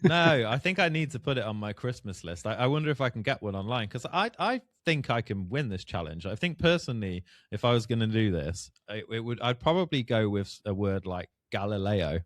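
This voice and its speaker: A man's voice: on the low side, lively intonation, brisk, few pauses, fairly bright tone, dry recording, clean studio sound. A man co-hosting a podcast, chatting casually and joking, often repeating words as he rambles. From immigrant to Australia. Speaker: podcast co-host. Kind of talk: casual banter.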